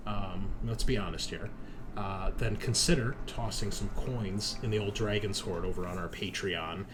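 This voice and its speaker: A man hosting in a casual, chatty and joking way, as game master on an online call. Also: on the low side. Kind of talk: casual podcast introduction. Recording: noticeable background noise.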